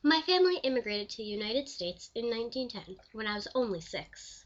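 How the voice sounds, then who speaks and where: A woman playing an elderly, proud pioneering lawyer and commissioner in a scripted interview, speaking formally and deliberately. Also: on the high side, animated, muffled recording, natural voice. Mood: gracious. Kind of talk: scripted mock interview, reenacted historical figure.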